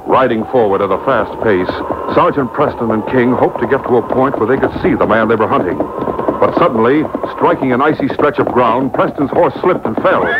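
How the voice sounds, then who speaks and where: A man narrating an old-time radio adventure serial as a dramatic, scripted storyteller. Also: natural voice. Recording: noisy muffled boombox taping of AM radio.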